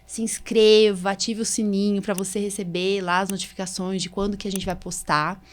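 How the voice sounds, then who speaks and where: A woman's voice: medium pitch, little pitch movement, fairly quick. A woman with a Brazilian Portuguese accent talking casually and informally as a podcast host, in a chatty conversational way. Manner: chatty, informal, recounts exchanges in acted-out dialogue. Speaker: podcast host, allergist doctor.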